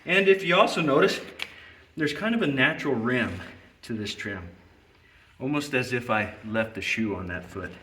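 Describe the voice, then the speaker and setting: A middle-aged man gives a patient, hands-on farrier lesson, talking while bent over a hoof. His voice sits at an ordinary pitch and carries the echo of a large barn. A faint background hiss runs under it. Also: animated, moderate pace, pushed and bright. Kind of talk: hands-on trimming demonstration. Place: roomy barn with concrete floor. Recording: echoey barn.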